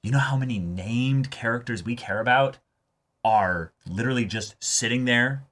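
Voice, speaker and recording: A man with a bright, forceful voice at a middling pitch co-hosts a casual discussion. He stresses his points by repeating words and speaks in an informal, emphatic way. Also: moderate pace. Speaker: co-host of anime reaction show.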